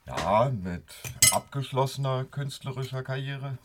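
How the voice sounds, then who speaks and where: Lively, declaiming ex-theatre man played by a man. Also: mid-range voice, measured pace, background noise, lightly acted. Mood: wry, self-important. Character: self-important, good-natured former theatre stagehand and singer. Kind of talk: radio play dialogue. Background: cutlery and dishes.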